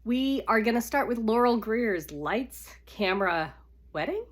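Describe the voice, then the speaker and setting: A woman's voice: fairly high pitch, lively intonation, a little slow. A woman with a cold reads romance fiction aloud in a casual, unedited way. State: congested with a cold. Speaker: live reader of romance novels. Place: at home by a fire.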